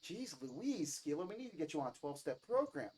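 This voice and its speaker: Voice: medium pitch, big pitch swings, brisk, darkish tone, breathy edge. A performer voicing a casual, teasing, kind-hearted teenage girl in a visual novel reading. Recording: music behind the voice.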